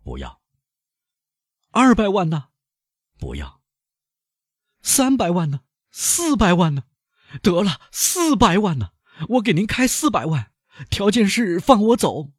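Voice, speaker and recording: Man voicing a shrill, frantic captive banker. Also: wildly swooping pitch, takes it slowly, long pauses, breathy edge, no echo, studio quality, exaggerated voice. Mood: haggling. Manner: shrill, pleading and desperate. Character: frantic aging male banker held captive.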